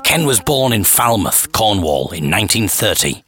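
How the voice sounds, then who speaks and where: A man hosting a podcast, reading a newspaper profile aloud in a measured, storytelling way. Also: on the low side, sing-song, bright, forceful tone. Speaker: podcast host and narrator.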